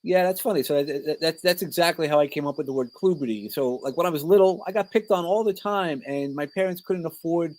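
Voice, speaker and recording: A man's voice: on the high side, quick pace, hardly any pauses, a little mellow, low-quality recording. A middle-aged man with a New York accent, talking informally as an interview guest and often breaking off to rephrase his personal stories. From New York, Brooklyn. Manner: informal, rambling, self-interrupting. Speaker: podcast guest, coach and speaker. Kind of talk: conversational interview, personal storytelling.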